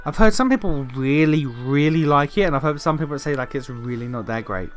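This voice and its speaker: A man's voice: on the high side, lively intonation, brisk. Man streamer; chatty, rambling let's-play commentary over faint game music. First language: Portuguese. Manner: chatty, rambling, jokey, many fillers.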